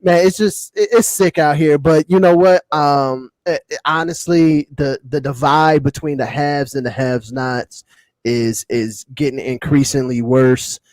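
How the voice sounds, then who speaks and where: Higher-pitched American man, slangy podcast talk. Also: fairly even intonation, unhurried, a little mellow, dry recording. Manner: slangy, emphatic, repeats phrases. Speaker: podcast co-host. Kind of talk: casual hip-hop podcast chat.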